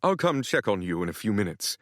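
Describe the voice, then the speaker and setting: A man's voice: fairly low pitch, big pitch swings, fairly quick, dry recording, clean studio sound. A man voicing a small, fussy male butler with a British accent and a formal, overly polite manner.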